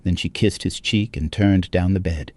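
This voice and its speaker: Low, mellow male story narrator. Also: normal speed, dry recording. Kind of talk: scripted dramatic story narration.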